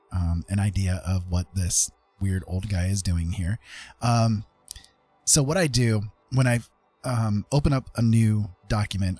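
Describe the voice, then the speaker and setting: A man's voice: fairly low pitch, darkish tone, husky. A middle-aged man chatting casually on an art livestream in a self-deprecating, hesitant, informal tutorial manner. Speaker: livestreaming digital artist.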